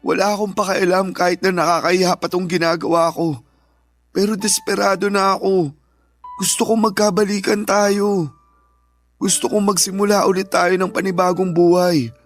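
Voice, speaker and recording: A man's voice: high-pitched, little pitch movement, normal speed, faint music behind. A man acting as a remorseful, desperate ex-boyfriend who pleads insistently in a Filipino radio drama. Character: remorseful, desperate ex-boyfriend begging for another chance.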